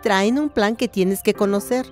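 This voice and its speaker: A woman's voice: mid-range voice, a little slow, soft background music, lightly acted. An actress playing a caring, indulgent mother in a radio soap opera. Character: caring, gently indulgent mother of a grown daughter.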